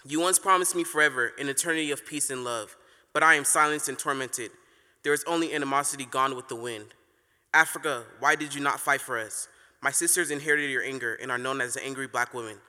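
A man's voice: medium pitch, quick pace, bright, forceful tone. A man performing a spoken word poem on a live stage, impassioned and mournful. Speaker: slam poet. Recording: reverberant hall.

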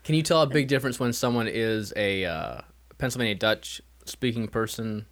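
A man's voice: mid-range voice, normal speed, a bit bright. A man with an American accent, chatting casually and asking questions as a co-host. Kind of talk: casual chat.

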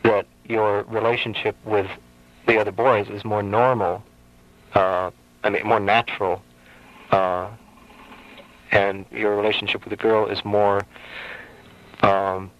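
A man hosting a late-night radio call-in show, musing slowly and hesitantly with many ums. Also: on the low side, level tone. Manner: thoughtful, hesitant, lots of ums. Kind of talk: casual call-in radio chat. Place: radio studio. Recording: dull lo-fi radio broadcast.

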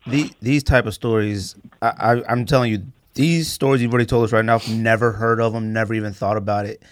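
A man's voice: fairly low pitch, fairly even intonation, fast, no echo. A man hosting a podcast in a casual, enthusiastic and effusive conversational way. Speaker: podcast host.

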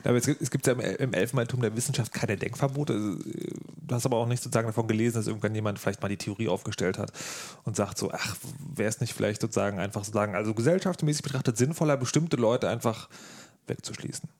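A man's voice: fairly low pitch, quick pace, a little mellow. A man hosting a casual discussion, speaking colloquially with a wry touch and posing questions. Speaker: podcast host.

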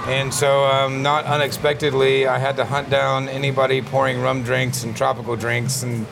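Gravelly-voiced man hosting from a bar. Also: mid-range voice, moderate pace, music in the background. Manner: relaxed, rambling, laughs easily. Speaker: podcast host. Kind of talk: casual bar-side chat. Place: busy bar. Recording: noisy bar background.

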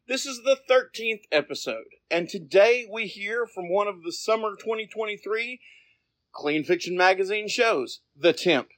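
A man's voice: high voice, fairly bright tone, no room sound. A man hosting a podcast, delivering introductions and announcements in a scripted style. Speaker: podcast host. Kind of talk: scripted podcast narration and interviewing.

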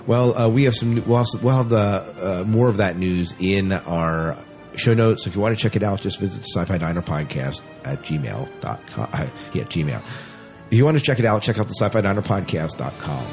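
Low-voiced man hosting a casual podcast. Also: expressive, normal speed, darkish tone, faint music behind. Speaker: podcast host. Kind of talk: casual chat.

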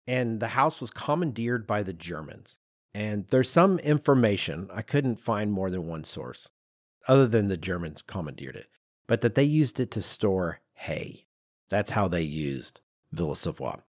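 A man with a lowish voice hosts a design talk in a casual, storytelling manner. His pitch moves around a great deal as he explains and acts out little asides. The sound is dry and close. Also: measured pace, no echo. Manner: informal, animated storytelling with acted-out asides. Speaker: podcast host. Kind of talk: conversational explainer of design history.

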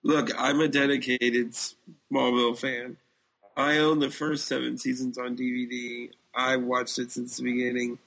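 Man with a creaky voice, chatting casually. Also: medium pitch, level tone, normal speed, a bit bright, low-quality recording. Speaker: podcast co-host. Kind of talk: casual chat about TV shows.

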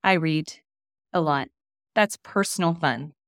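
Thirties woman, lower voice, upbeat, laughs easy, dry sound. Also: a little slow, no echo. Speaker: real estate investor guest. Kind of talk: casual interview chat.

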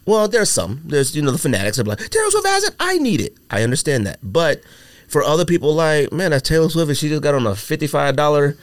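A man hosting a casual podcast chat, telling stories with lots of fillers in an animated, drawn-out way. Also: on the high side, dry recording. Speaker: podcast host.

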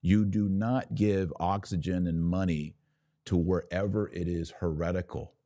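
American man, low-pitched voice, dry close sound, didactic and emphatic, live religious podcast commentary. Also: fairly even intonation, a little slow, dark tone, dry recording. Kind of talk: live commentary answering viewer questions.

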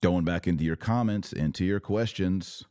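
Deep-voiced American man, emphatic religious commentator. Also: normal speed, dark tone, no echo, studio quality. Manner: emphatic, preachy, punchy short phrases. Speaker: podcast host taking viewer questions. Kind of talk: impassioned religious commentary, off the cuff.

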